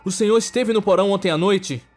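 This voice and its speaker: A man's voice: sits high, quick pace, soft background music, voice pushed up, lightly acted. A Brazilian man voicing a frightened, suspicious young woman caregiver in a horror storybook, anxious and questioning. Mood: confronting.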